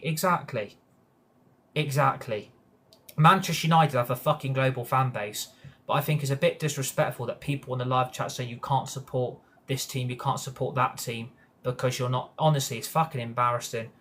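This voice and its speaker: A man's voice: medium pitch, fairly even intonation, fairly quick, a bit bright. A man hosting a live football match reaction stream, ranting heatedly, swearing often and answering his live chat. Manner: heated ranting with frequent swearing and repeated agreement. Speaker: football fan channel host.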